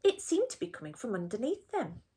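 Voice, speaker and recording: Female storyteller for young children, high-pitched and expressive, reading a picture book with deliberate care. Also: slightly rough. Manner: animated, deliberate, with asides to the listeners.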